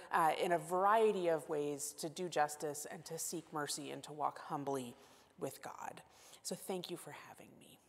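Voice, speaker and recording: A woman in her forties preaching as guest pastor at a church pulpit, talking expressively and gesturing. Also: on the low side. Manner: warm expressive, gestures while speaking. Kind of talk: sermon and scripture reading. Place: church sanctuary at wooden pulpit. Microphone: gooseneck pulpit mic. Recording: long hall reverb.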